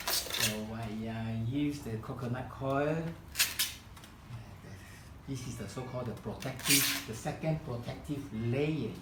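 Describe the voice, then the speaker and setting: A man whose first language is Chinese, leading a live kokedama-making demonstration in a room and often pausing to search for words. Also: mid-range voice, roomy sound, very noisy. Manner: halting, searching for words, many restarts. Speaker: kokedama workshop founder demonstrating. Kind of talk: live hands-on craft demonstration. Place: room at a home demonstration event.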